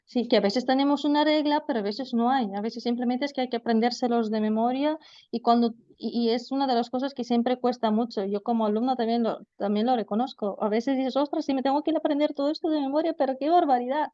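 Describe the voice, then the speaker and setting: A woman's voice: fairly high pitch, fairly even intonation, fast talker, warm tone, dull audio. A woman giving an online lecture to trainee teachers, explaining patiently with frequent fillers. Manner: explanatory, addresses students as vosotros, frequent fillers.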